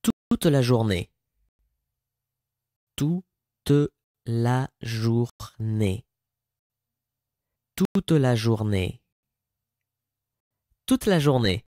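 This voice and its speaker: Very slow, dark-voiced man reading phrases. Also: medium pitch, animated, no echo, studio quality. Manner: says each phrase several times, sometimes word by word. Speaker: French language speaker.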